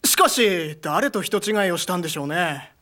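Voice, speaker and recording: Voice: mid-range voice, animated, normal speed, bright, forceful tone. An actor playing a level-headed young male comrade in an anime adventure, reasoning firmly. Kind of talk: anime voice acting.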